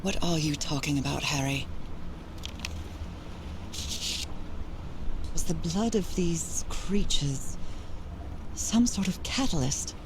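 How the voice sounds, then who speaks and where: A woman's voice: low voice, lively intonation, a little slow, background noise. An actress playing a troubled, wistful woman explorer, narrating her thoughts reflectively and hesitantly in a dramatic video game. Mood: shocked, pleading. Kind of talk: dramatic first-person game narration, introspective. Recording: loud music behind the voice. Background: loud game music.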